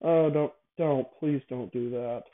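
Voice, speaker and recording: A man speaks over narrow, phone-like audio in a casual, unscripted monologue. He hosts in a halting way, often doubling back to correct or repeat his phrases. His pitch sits in an ordinary middle range. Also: fairly even intonation. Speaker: show host. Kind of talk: casual improvised monologue, explaining a device idea.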